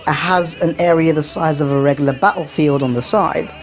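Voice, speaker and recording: A woman's voice: low-pitched, brisk. A woman giving casual, chatty and opinionated video game commentary as the host of a showcase. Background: background music.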